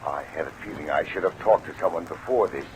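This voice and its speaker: An anxious, respectable businessman in middle age, from a vintage radio mystery. He speaks low and carefully, stiff with formality, under clearly audible rain noise. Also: quick pace. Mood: uneasy, formal. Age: middle-aged. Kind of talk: old-time radio drama acting.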